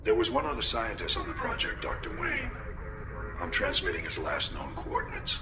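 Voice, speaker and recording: A grave video game admiral with a low voice. His delivery is formal and military, yet highly varied in pitch. The transmission is clearly noisy. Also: huge pitch swings, normal speed, echoey room. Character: grave older male fleet admiral giving a briefing.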